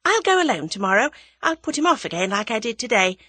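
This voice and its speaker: A woman voicing a bold, impulsive young English woman in a dramatised audiobook, bright and emphatic. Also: a little high, big pitch swings, fast talker, no room sound, voice pushed up, full character voice. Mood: bossy, eager. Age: young adult.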